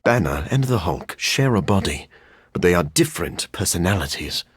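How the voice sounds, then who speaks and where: An actor playing a brooding, courteous scientist turned vampire in a video game, speaking formally with dry wit and a gravelly, husky voice. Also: male voice, deep voice, big pitch swings, no echo, heavily acted. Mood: dryly wry. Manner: formal, measured, dryly witty. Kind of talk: video game character voice acting.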